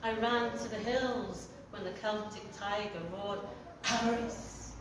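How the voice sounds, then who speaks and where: A woman's voice: mid-range voice, steady tone, takes it slowly, very echoey, far from the mic, noisy background. A woman reciting her poetry outdoors with passion. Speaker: poet. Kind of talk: poetry reading. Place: outdoors outside a cafe.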